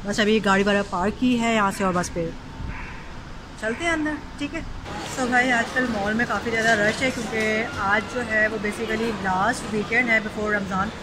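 A woman's voice: a little high, moderate pace. A young woman chatting casually to her viewers in a vlog, often slipping English words into her talk. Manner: chatty, mixes English into Urdu, keeps addressing viewers. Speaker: vlogger. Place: shopping mall, then home kitchen. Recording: noisy handheld vlog recording.

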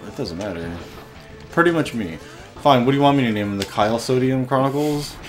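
A man's voice: medium pitch, expressive, a little fast, faint music behind. A young man hosting a let's play, chatting casually and swearing with frustrated outbursts. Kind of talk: casual gameplay commentary.

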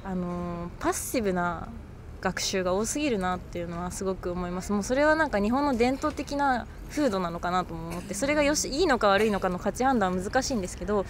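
A woman's voice: mid-range voice, expressive, quick pace. A young woman in her twenties, a university student, giving a reflective street interview answer with many fillers. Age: 20s. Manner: reflective, hedging, frequent fillers. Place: outdoors by a campus gate. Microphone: handheld mic held by interviewer. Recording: outdoor street noise.

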